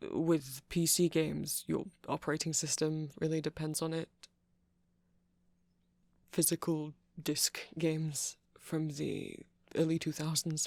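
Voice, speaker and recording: Man playing a creaky-voiced nerdy vampire, rambling gently. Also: fairly high pitch, dry recording. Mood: earnest, nerdy. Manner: hesitant, meandering, explanatory. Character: nerdy male vampire, rambling tech enthusiast, gentle boyfriend. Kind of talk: ASMR roleplay, rambling tutorial. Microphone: close mic, dry.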